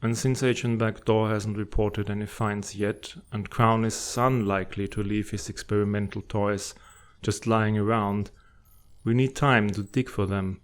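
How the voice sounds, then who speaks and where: A man acts out a sinister schemer from a fantasy comic dub. He speaks slowly and rather low, with formal, theatrical phrasing. His menace is cool and completely self-assured. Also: steady tone, clear, resonant tone, natural voice. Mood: calculating. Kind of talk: dramatic comic fandub acting.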